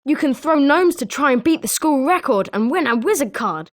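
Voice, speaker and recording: A woman's voice: high-pitched, lively intonation, normal speed. A woman voicing a chatty, opinionated young student at a wizard school in scripted video game lines. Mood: helpful, eager.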